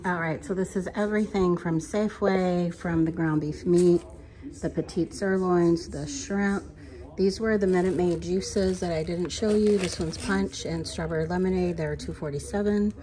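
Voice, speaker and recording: A woman's voice: lowish voice, fairly even intonation, normal speed, warm tone, poor audio. Chatty woman filming a grocery run. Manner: chatty, practical, addresses viewers as y'all. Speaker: grocery haul vlogger. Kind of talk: casual shopping walkthrough. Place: supermarket aisles. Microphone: handheld phone camera. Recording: music playing in the store. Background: store background music.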